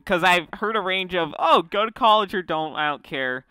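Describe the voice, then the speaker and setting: A man's voice: sits high, animated, moderate pace, bright, forceful tone, clean tone, dry recording. A young man hosting a casual group podcast on a call, rambling with lots of fillers. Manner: rambling, many fillers and restarts. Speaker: podcast host.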